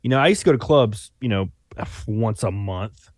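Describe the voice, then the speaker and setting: A man's voice: on the low side, lively intonation, moderate pace, a little mellow, no room sound, clean studio sound. A young man with a Southern Alabama accent, talking loosely in a casual chat, full of fillers and restarts. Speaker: YouTuber guest. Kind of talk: casual conversation.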